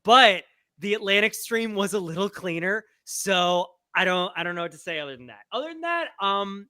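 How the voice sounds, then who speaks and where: A man with a fairly high, very bright and forceful voice co-hosts a sports podcast. He talks casually, with wry exaggeration, in a dry-sounding recording. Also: animated, normal speed, smooth, dry recording. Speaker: podcast co-host.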